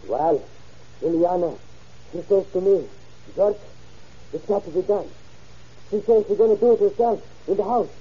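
A man acting an agitated, evasive, jealous murder suspect in a 1930s radio drama, excitable and stammering in his protests. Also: high voice, moderate pace, pauses often, breathy edge. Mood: reluctant confessing. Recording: muffled old radio recording.

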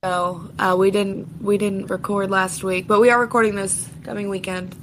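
A woman's voice: medium pitch, flat delivery, normal speed. A woman co-hosting a casual podcast, easygoing and gossipy, her voice still a little scratchy after being sick. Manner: easygoing, gossipy, says dude. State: slightly scratchy voice after illness. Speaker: podcast co-host.

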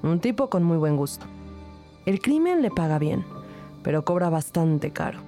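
A woman's voice: fairly low pitch, very animated, normal speed, mellow, soft background music. An actress playing an observant investigator, narrating her case in the first person in a wry, matter-of-fact way. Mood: wry narration.

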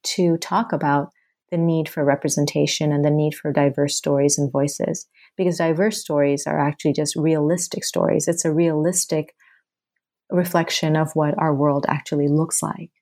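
A woman's voice: low voice, fairly even intonation, moderate pace, a little mellow. A woman from Bangladesh, an author answering interview questions with earnest, rhythmic, building phrases. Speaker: author guest.

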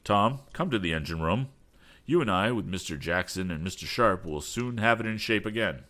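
A man voicing an aged inventor, a steady, determined father with a measured, authoritative manner, in a storybook. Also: on the low side.

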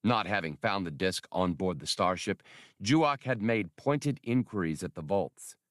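A man's voice: low-pitched, lively intonation, measured pace, dry recording, clean studio sound. A man reading scripted narration from a science fiction adventure novel as an audiobook narrator.

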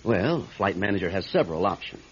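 An actor playing a measured, skeptical airline manager in a classic radio drama, American, speaking in a matter-of-fact, businesslike way. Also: male voice, deep voice, sing-song, a little hiss or hum. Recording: dull lo-fi old radio recording.

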